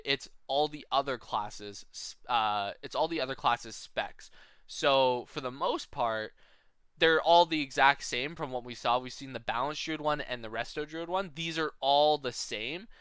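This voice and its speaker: A man's voice: medium pitch, sharp tone, smooth, no echo. A man giving a casual, informal explainer as commentary for a gaming video. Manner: explanatory, informal, often checking with "right?". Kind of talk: casual explainer commentary over game announcement images.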